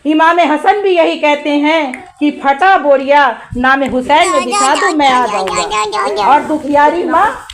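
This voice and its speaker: Female majlis orator, slow and declamatory, high register, live congregation audible. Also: expressive. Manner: declamatory, with rhetorical questions and repeated phrases. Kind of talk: Shia religious sermon to a gathering. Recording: live gathering with crowd noise. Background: congregation crowd.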